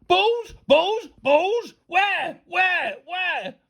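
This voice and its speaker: A woman's voice: mid-range voice, expressive, moderate pace, creaky, breathy edge, voice pushed up. A woman voicing a manic, thundering male schoolteacher in a storybook, spluttering and repeating in exasperation. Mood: frantic. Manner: bellowing, repetitive, spluttering.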